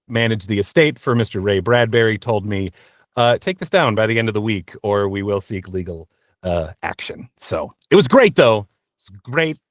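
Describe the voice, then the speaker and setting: A man giving casual, conversational interview answers as a voice actor guest, often halting and correcting himself as he tells his story. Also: mid-range voice, no echo, clean studio sound.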